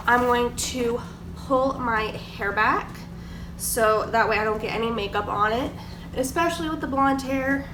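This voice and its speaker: A young woman with a slightly high voice and a stuffy nose from a cold talks chattily through her morning routine, full of "like" and "um". She sits a bit back from the camera in a roomy, echoing kitchen. A steady hiss of room noise runs underneath. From Georgia, US. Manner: chatty, filler-heavy, explaining products. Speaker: beauty vlogger. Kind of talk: casual get-ready-with-me chat. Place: kitchen table at home. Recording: echoey room, distant mic, background noise.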